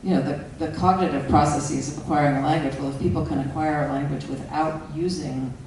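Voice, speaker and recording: A moderator asking slow, measured questions at a panel, recorded from far off in an echoey hall. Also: medium pitch, fairly even intonation, a little mellow, a little hiss or hum. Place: large conference hall.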